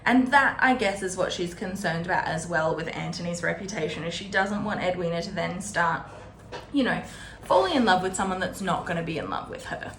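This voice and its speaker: A woman's voice: fairly low pitch, animated, fairly quick, a bit bright. A woman hosting a casual, chatty book readalong, retelling the story informally and paraphrasing dialogue in a conversational way. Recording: roomy echo with faint background noise.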